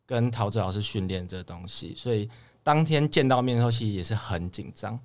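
Low-voiced young Taiwanese Mandarin man. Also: brisk. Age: young adult. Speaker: idol singer guest. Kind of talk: casual interview chat.

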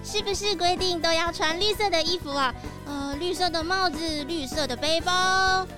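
A woman's voice: extremely high pitch, normal speed, music in the background. A woman playing a bubbly, nature-loving little pig child in a children's audio drama. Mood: playful.

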